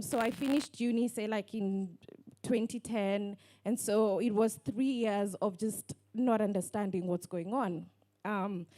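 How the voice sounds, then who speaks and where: A woman, talking on a book panel in a lively storytelling way full of fillers. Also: medium pitch. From Kenya. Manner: animated storytelling with many fillers. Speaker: panelist, online book lover. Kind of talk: casual panel talk.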